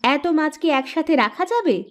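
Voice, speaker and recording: A woman's voice: high-pitched, expressive, normal speed. A woman voicing a fussing but doting village mother in a cartoon, exclaiming and questioning. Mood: fretting. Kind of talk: cartoon voice acting.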